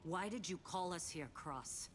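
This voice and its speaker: An actress playing a composed female samurai warrior in a video game cutscene, measured and serious. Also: lowish voice, slight background noise, close to an everyday voice. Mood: stern, measured.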